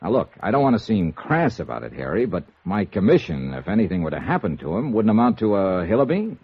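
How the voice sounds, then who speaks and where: An American actor playing a wry, impatient freelance investigator with a dry, exasperated manner, in 1950s radio noir style. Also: male voice, lowish voice, very animated, brisk, slightly rough, no echo, full character voice. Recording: dull old radio recording.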